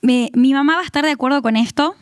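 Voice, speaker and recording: A young woman from Tucumán, Argentina, with a Tucumán accent, chatting warmly through her testimony with frequent laughs and fillers. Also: sits high, fast, dry recording, studio quality. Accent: Tucumán Argentine Spanish. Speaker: guest giving testimony. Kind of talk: casual testimony interview.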